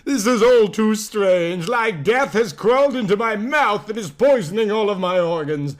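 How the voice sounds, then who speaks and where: A man's voice: sits high, animated, moderate pace, pitched above the performer's own voice. Man acting a melodramatic, anguished young vampire girl. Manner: melodramatic, foul-mouthed outbursts. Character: anguished, furious young vampire girl, hot-tempered heroine. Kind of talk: dramatic amateur story reading.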